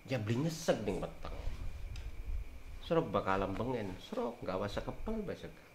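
Older man, low deep voice, rambling folk storyteller, roomy echo. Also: very animated, moderate pace. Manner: rambling, emphatic swings in loudness. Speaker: storyteller elder. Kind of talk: casual folk talk on herbal lore. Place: small room with green backdrop, seated on sofa. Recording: roomy echo with faint hiss.

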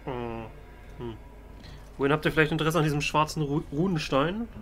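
A man's voice: on the high side, a little fast, fairly bright tone, faint music behind. A man giving playfully ironic, in-character commentary as a let's play host. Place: voice-over on game footage.

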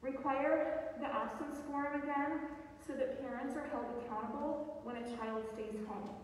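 A middle-aged woman, a pediatrician reading a firm, earnest public comment at a school board meeting. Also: high voice. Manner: earnest, firm, steady pleading. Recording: echoey hall, distant mic, faint hiss.

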